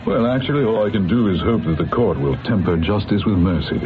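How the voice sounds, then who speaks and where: An actor playing a courteous, experienced defence lawyer in an old radio drama, self-assured and measured. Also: male voice, lowish voice, animated, slight background noise, close to an everyday voice. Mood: assured, resigned. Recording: tinny vintage radio, music behind.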